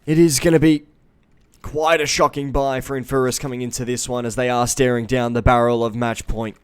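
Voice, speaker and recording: A man casting a live esports match on a headset, his play-by-play building to loud excitement on big plays. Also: medium pitch, a little fast, clear, resonant tone. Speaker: esports caster.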